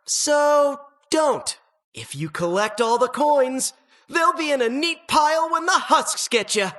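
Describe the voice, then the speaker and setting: An actress playing a gleefully sinister, disembodied dungeon host in a video game, mock-cheerful, teasing and theatrical. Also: female voice, high voice, fairly bright tone, full character voice. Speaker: game announcer.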